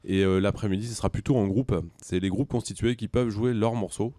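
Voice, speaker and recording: A man with a very low, mellow voice. He answers interview questions conversationally, feeling his way haltingly through explanations, in a dry recording. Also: steady tone, no echo. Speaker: music conservatory director, interview guest.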